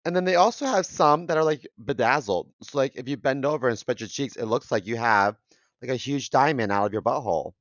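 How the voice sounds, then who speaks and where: A man co-hosting a podcast, congested and losing his voice, with dry, deadpan jokes. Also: mid-range voice, brisk, no echo, muffled recording. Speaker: podcast co-host. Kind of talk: casual chat.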